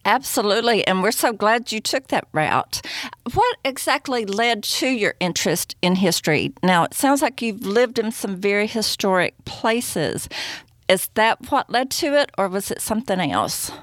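A woman's voice: mid-range voice, expressive, normal speed, a bit bright, no echo. A woman hosting a podcast, reading a scripted intro and interviewing a guest in an upbeat, enthusiastic way. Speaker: podcast host. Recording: dry studio sound with faint music.

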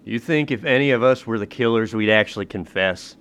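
A man's voice: fairly low pitch, a little fast, a bit bright. An actor playing a blunt, exasperated and sardonic company man in a 1930s-style radio mystery. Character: blunt, exasperated male company rival, protective and sarcastic. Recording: music behind the voice.

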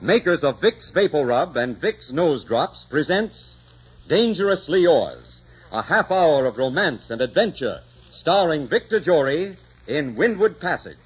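A man's voice: a little high, sing-song, unhurried, husky. A man announcing in 1940s radio style, reading a scripted show introduction and sponsor message. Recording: dull, noisy 1940s radio recording.

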